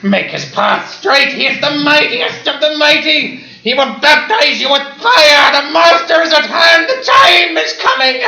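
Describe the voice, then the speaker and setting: An actor playing a mad asylum inmate who pleads, giggles and turns menacing in a live radio play. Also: male voice, very high-pitched, animated, a little slow, creaky, hardly any pauses, pushed and bright, full character voice. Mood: ranting. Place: stage before a live audience.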